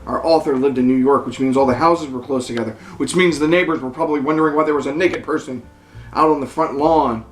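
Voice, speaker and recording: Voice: medium pitch, fast. A comic performer reads verse and comments on it like a solemn lecturer. The delivery is mock-serious and indignant. The recording is roomy, with faint jazzy holiday music behind the voice. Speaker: performer in a dramatic poem reading. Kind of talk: poem recital with comic commentary. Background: jazzy Christmas music.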